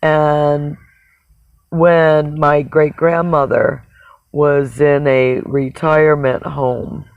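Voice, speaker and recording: A person with a raspy voice talks in a casual, rambling livestream monologue, halting and reflective, often pausing mid-sentence. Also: mid-range voice, little pitch movement, no room sound. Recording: dull, narrow phone-like sound.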